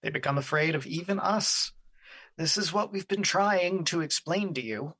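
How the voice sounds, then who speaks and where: A woman playing a calm, pitying personification of a positive emotion in an audio drama, gently reproving. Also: on the low side, moderate pace, slightly rough.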